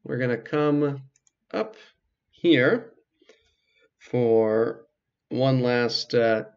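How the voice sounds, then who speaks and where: A man hosts a casual game walkthrough in a creaky, mid-pitched voice on a dull, lo-fi mic. He explains each step in a measured, hesitant way with dry asides. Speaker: video game walkthrough host. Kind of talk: casual let's play commentary.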